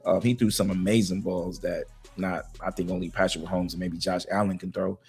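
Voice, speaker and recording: A man co-hosting a podcast, talking sports in a loose, slangy way with a voice that is going hoarse. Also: sits low, fairly quick, soft background music. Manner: loose, slangy, animated banter with fillers. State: losing his voice. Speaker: podcast co-host. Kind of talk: casual sports talk.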